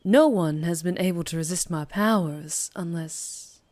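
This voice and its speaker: A woman plays a mysterious vampire biker in an ASMR roleplay. Her voice sits on the low side and she takes her time with each phrase. She is teasing and menacing by turns. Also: a little slow, darkish tone, lightly acted. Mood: teasing, intrigued.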